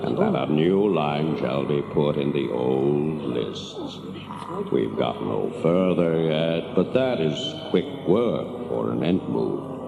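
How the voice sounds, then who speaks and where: Casting: ancient tree giant for fantasy radio play, rock-bottom pitch, extremely slow, deliberate and kindly. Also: male voice, phone-quality sound, exaggerated voice. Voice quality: very deep, creaky, gravelly. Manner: drawn-out, ponderous, humming and pausing between words. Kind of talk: audio drama acting. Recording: noisy, echoing hall-like reverb.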